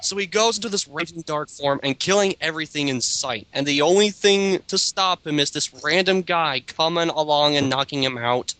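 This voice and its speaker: Sarcastic, bright-voiced man reading aloud. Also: on the high side, moderate pace, few pauses, slight background noise, poor audio. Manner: sarcastic, incredulous. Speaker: lead reader of an amateur dramatic reading. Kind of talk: reading aloud with mocking casual commentary.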